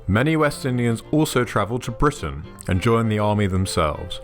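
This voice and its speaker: A man giving measured, scripted documentary narration. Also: fairly low pitch. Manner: measured, even documentary delivery. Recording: soft music underneath.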